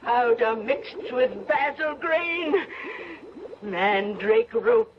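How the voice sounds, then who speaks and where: Slow, vengeful old witch. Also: a little high, lively intonation, a crowd in the background. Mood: chanting curse. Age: older. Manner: slow, incantatory, dramatic. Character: vengeful old witch granny, slow and menacing, devoted to her cat. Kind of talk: old-time radio horror acting. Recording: dull old radio recording, noisy background.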